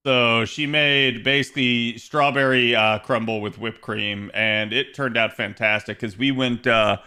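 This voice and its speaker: A man live-streaming from home with a bright, forward voice that is roughened by hoarseness. He rambles through loose, chatty talk full of fillers and restarts. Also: medium pitch. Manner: rambling, self-correcting, many fillers. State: hoarse, slightly raspy voice. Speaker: game streamer. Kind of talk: casual live-stream chat, talking to viewers.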